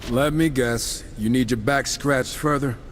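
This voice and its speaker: A man's voice: mid-range voice, fairly even intonation, a little slow, slight background noise, natural voice. An actor playing a wisecracking, irreverent male warrior in a video game, sardonic, slangy and combative. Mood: wry sarcasm. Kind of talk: video game dramatic acting. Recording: music under the voice.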